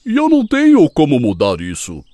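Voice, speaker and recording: A little ox played by an actor whines with very swingy pitch in a close, dry recording. He sounds self-doubting and sorry for himself, in children's cartoon style. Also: huge pitch swings, fairly quick, mellow, no room sound. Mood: plaintive. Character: small, unhappy, complaining young ox. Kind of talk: children's cartoon fable voice acting.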